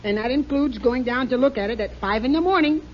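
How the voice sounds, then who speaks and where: An actor playing a bumbling, excitable husband in a 1950 radio sitcom, boyish and quick to yelp when flustered. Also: male voice, very high-pitched, slight background noise. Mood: teasing. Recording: dull old radio broadcast.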